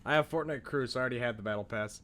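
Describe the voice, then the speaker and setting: A man with a medium-pitched voice hosts a video game livestream. He talks casually to his viewers, rambles into asides and reads text off the screen. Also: racing, a bit bright. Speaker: streamer, video game host.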